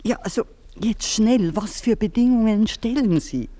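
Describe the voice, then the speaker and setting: A woman's voice: mid-range voice, animated, moderate pace, dark tone, close to an everyday voice. An actress playing an older baroness who soothes and pleads with her servant. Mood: pleading. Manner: soothing, placating, then pleading. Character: older noblewoman, a baroness, anxious and placating. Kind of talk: radio play acting.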